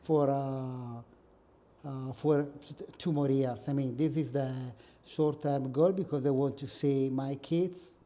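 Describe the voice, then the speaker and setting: A man's voice: medium pitch, steady tone, takes it slowly, mellow. A middle-aged man from northern Italy with an Italian accent, a guest professor joking dryly about himself in a casual interview, recorded in a small office room. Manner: dry joking, self-mocking.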